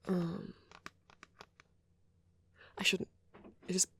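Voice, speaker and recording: A man playing a shy young male vampire in an ASMR roleplay, rambling hesitantly and apologetically in a soft, breathy voice that often drops to a whisper. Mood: shy, apologetic. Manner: hesitant, halting, rambling, self-correcting.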